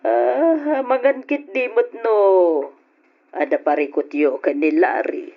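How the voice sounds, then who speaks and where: A woman playing a caring, inquisitive auntie in an old Ilocano radio drama, with expressive, drawn-out, probing delivery. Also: on the high side, gravelly, full character voice. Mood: concerned, probing. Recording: dull lo-fi old radio recording.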